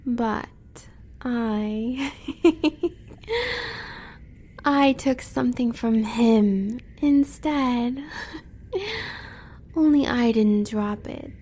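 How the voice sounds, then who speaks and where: An American woman playing a sly, devoted werewolf huntress in an audio roleplay, teasing and breathy. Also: a little high, warm tone. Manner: teasing, breathy, drawn-out delivery.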